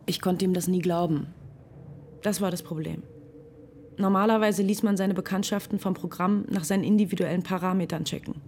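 An actress narrating as a reflective young woman in a radio drama, speaking in a calm, measured, introspective way. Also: on the low side, noisy background, close to an everyday voice. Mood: pensive. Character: reflective young woman who trusts a dating program. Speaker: narrator and main character.

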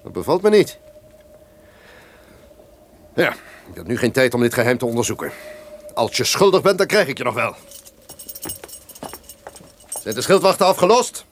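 An actor playing an imperious, menacing duke in an old-fashioned radio drama, speaking formally, theatrically and with command. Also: male voice, medium pitch, big pitch swings, moderate pace, pauses often, slight background noise, lightly acted. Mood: stern, suspicious.

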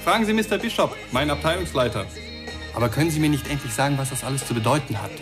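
A man's voice: medium pitch, animated, racing, over music, close to an everyday voice. An actor playing an evasive, polite man under police questioning in a German radio crime drama, wary and a little defensive. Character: evasive, polite male suspect, defensive householder.

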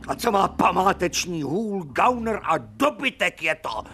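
A sarcastic, irascible old professor in a radio drama. He lectures scornfully, his slightly high voice leaping about with lively swings. Every point is hammered home with emphasis. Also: slight background noise, heavily acted. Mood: indignant.

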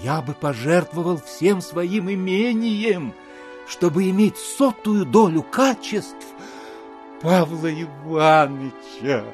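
An actor playing a sugary, sentimental gentleman landowner in an audio play, gushing in a genteel way. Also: a little high, animated, slow, long pauses, soft background music. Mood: fawning devotion.

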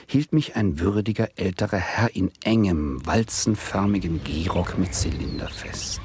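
An actor playing an adult nephew who narrates a radio play in a wistful, ironic, literary way. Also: male voice, low voice, a little slow, darkish tone, slightly rough, no room sound, exaggerated voice. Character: wry adult nephew, stalled doctoral student, narrating.

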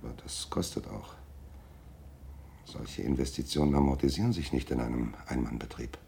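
A very low, creaky male voice for a shabby one-man private detective in a radio drama. He is dry and officious, phrasing things stiffly and grumbling, with faint noise behind him. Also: moderate pace, dark tone. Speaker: actor. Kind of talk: German radio drama acting.

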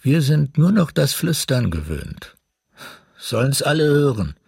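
A man's voice: medium pitch, very animated, measured pace, vocal fry, a little mellow, husky, no room sound, studio quality, full character voice. An actor playing an embittered, defiant old grandfather in a radio drama, declaiming emphatically against his oppressors. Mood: bitter defiance. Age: older. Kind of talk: documentary radio drama monologue.

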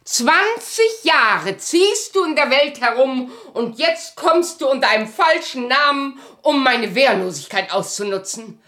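A long-abandoned wife in a radio play, indignant and in command. Her voice sits fairly high, and she scolds bluntly with firm emphasis. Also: lively intonation, unhurried, few pauses, bright, forceful tone, exaggerated voice. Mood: angry, scolding. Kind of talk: German radio play acting.

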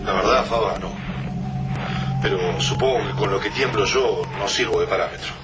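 Male actor: brooding, determined fighter for radio drama; deep voice, terse lines, strong echo. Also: normal speed, natural voice. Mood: tense. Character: weary, frightened but determined male fighter and narrator. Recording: echoey radio drama with loud music.

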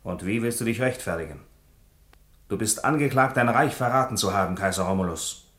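Wronged conspirator: insistent accuser, fairly low, theatrical delivery in a roomy acoustic. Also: male voice, animated, brisk, a bit bright. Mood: accusing. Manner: stern, insistent, declamatory. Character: accusing, wronged Roman conspirator demanding answers. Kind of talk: theatrical radio drama acting.

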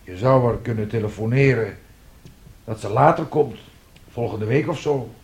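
An actor in a radio drama playing an embittered, disgraced former music teacher, brooding and self-justifying, with a rough voice. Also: male voice, mid-range voice, normal speed, darkish tone. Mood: defensive, uneasy. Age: middle-aged.

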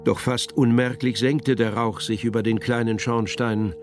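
An actor playing a solemn, measured narrator with an elegiac manner in a literary radio drama. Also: male voice, medium pitch, flat delivery, soft background music, natural voice.

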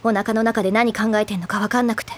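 A woman playing a prickly, blunt high school girl in an audio drama, with a curt, sharp-tongued delivery. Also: fairly even intonation, quick pace, a little hiss or hum, lightly acted. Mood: irritated, scornful. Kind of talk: Japanese audio drama acting.

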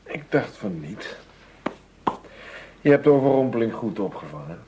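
An actor playing a supportive undercover partner in a radio drama, with a husky voice. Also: male voice, on the low side, sing-song, normal speed, a little mellow, full character voice. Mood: reassuring.

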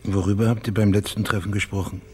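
A man's voice: on the low side, flat delivery, fast talker, darkish tone, breathy edge, soft background music. An actor playing a laconic, matter-of-fact male private detective in a radio drama, persistent in his questioning. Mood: probing.

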